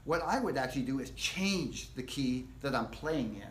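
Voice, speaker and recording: Relaxed man explaining guitar keys, echoey. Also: mid-range voice, normal speed, a little hiss or hum. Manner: relaxed, rambling, explains step by step. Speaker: guitar teacher and host. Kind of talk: casual live guitar workshop. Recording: echoey room.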